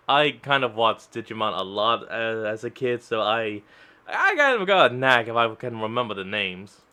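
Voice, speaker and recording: A man's voice: medium pitch, big pitch swings, unhurried, sharp tone, smooth. A man hosting a reaction video, making casual commentary with rambling, self-correcting asides.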